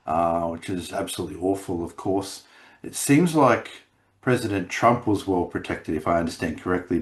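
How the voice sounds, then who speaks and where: A man co-hosting a casual chat podcast over a video call, his voice croaky from laryngitis, rambling with many restarts. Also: low voice. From Australia. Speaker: podcast co-host.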